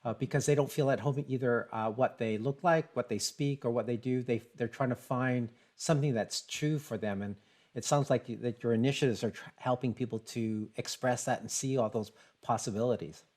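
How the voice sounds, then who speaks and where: A man moderating a conversational panel as interviewer, reflective and measured as he sums things up and repeats words. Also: medium pitch, a little mellow.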